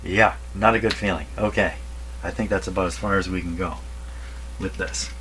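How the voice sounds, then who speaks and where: A man's voice: deep voice, steady tone, moderate pace. A man thinking aloud casually while testing electronics, tentative and often questioning himself. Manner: tentative, muttering, self-questioning. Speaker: radio repair vlogger. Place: radio repair workshop. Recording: loud electrical hum and crackling noise. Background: humming, noisy old radio being tested.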